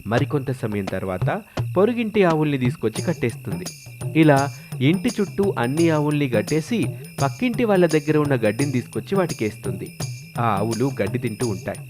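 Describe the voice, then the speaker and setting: Male narrator, mid-pitched, scripted moral tale, clear music bed underneath. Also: big pitch swings, fairly quick. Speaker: story narrator. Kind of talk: scripted storytelling narration for a moral story cartoon. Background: background music.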